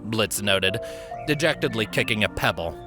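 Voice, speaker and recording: A man's voice: fairly low pitch, animated, normal speed, music in the background. A man reading a fan fiction story aloud as a scripted narrator.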